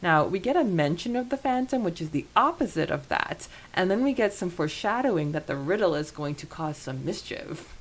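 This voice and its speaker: A woman with a low voice, speaking as a podcast co-host. She recaps and discusses in a casual, conversational style. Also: expressive, a little fast, a little hiss or hum.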